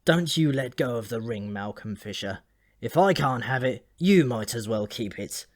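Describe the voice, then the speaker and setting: A man's voice: mid-range voice, lively intonation, normal speed, no echo, natural voice. Ancient dwarf for a fantasy audiobook , acted by a man: dyspeptic, gloomy, rambling, grudgingly friendly, recorded dry. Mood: gloomy, grumbling.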